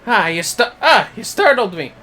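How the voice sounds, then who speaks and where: A man's voice: sits high, big pitch swings, moderate pace, pushed and bright, slight background noise, pitched above the performer's own voice, heavily acted. A man voicing a jumpy, fussy villager in a fantasy game, flustered and pompous. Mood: startled, indignant.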